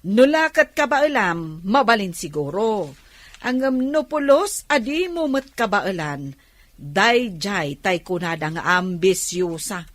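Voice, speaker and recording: A woman's voice: mid-range voice, very animated, a little slow, no echo. A woman playing a spiteful middle-aged aunt in a radio drama, mocking and scornful as she questions.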